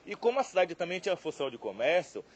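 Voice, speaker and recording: Husky man explaining history. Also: on the high side, very animated, fast, lo-fi sound. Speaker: expert interviewee. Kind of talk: explanatory interview.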